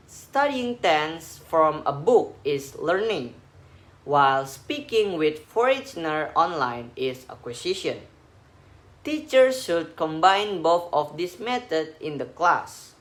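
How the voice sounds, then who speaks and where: Slow, low-voiced woman reading a presentation. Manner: steady, careful delivery with small grammar slips, as a non-native speaker. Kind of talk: reading a prepared class presentation.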